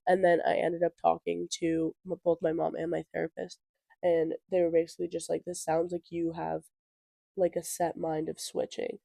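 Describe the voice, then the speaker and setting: A woman's voice: fairly low pitch, monotone, moderate pace, vocal fry, warm tone, dry recording. An eighteen-year-old woman with a stuffy nose from a cold, chatting casually as a podcast co-host and filling her talk with fillers. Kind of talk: casual chat.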